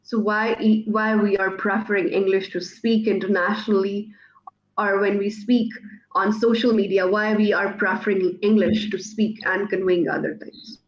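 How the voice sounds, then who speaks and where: A woman's voice: mid-range voice, steady tone, reverberant, muffled recording. A woman from Pakistan, a native Urdu speaker, hosting an online interview and feeling her way through her questions. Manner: slow, searching for words. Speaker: host, interviewer.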